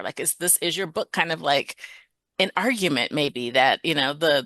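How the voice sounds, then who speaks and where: Female co-host, bright and lively intonation, conversational questioner, dry recording. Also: sits low, no echo. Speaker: podcast co-host.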